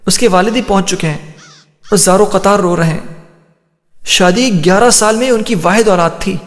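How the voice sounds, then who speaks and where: A man's voice: sits high, normal speed, natural voice. A man playing a male hospital colleague who briefs a doctor in an audio story. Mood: concerned. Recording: long hall-like reverb.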